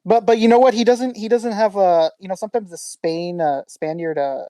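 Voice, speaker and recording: A man's voice: high voice, fairly even intonation, normal speed, dark tone, no room sound. A man co-hosting a podcast, talking casually and restarting his sentences often. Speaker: podcast co-host. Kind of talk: casual chat.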